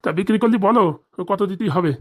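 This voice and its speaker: Man playing a pushy, fast-talking old livestock broker. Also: high-pitched, darkish tone, lightly acted. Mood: wheedling. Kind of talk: comedy cartoon dialogue.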